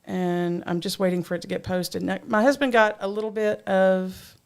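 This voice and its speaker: An older woman hosting a casual talk show, chatty and quick to laugh. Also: mid-range voice, steady tone, clean tone. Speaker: host.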